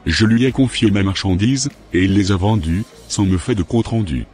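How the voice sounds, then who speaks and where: A man playing a stern, vengeful cheated boss in an amateur cartoon, speaking deliberately and threateningly over music. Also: fairly low pitch, a little mellow, natural voice. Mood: resentful. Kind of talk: amateur animated drama acting. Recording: background music.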